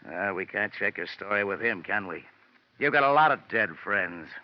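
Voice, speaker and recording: Low-voiced, skeptical police lieutenant played by a man. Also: lively intonation, moderate pace, a little hiss or hum, close to an everyday voice. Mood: skeptical, sarcastic. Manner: gruff, sarcastic questioning. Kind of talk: 1950s radio detective drama acting. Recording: muffled old radio broadcast.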